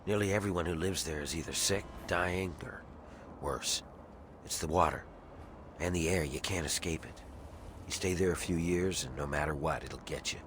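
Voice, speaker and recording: An actor playing a grim, embittered slave rebel in a video game, gruff and conspiratorial as he schemes for freedom. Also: deep voice, normal speed, lightly acted. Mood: grim, determined. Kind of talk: video game character dialogue. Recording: clear ambient noise behind voice.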